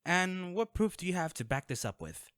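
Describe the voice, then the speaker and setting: A man's voice: high voice, sing-song, moderate pace, fairly bright tone, no room sound, clean studio sound. A man playing a guarded, wealthy vigilante in an audio drama, speaking in a curt, sarcastic and threatening way to the listener. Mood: stern.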